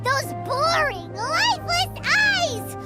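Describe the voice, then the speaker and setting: Super high squeaky lil game fairy, bossy n dramatic, music playing behind. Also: female voice, sing-song, slow pace, exaggerated voice. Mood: indignant. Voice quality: squeaky, childlike. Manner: refers to self in third person, exclamatory, bossy. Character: tiny excitable bossy female fairy companion, childlike, dramatic. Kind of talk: animated game voice acting. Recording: prominent background music.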